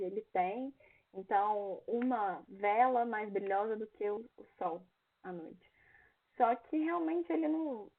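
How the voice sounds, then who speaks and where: A young woman with a Brazilian Portuguese accent teaching an English exam review class, reading lines in English and explaining them. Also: medium pitch. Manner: reads English lyrics aloud, then translates and explains each line. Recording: tinny phone-like online class audio.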